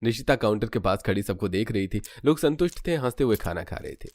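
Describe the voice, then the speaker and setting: A man's voice: fairly low pitch, expressive, fast, darkish tone. A man narrating a cartoon story in a scripted storytelling style.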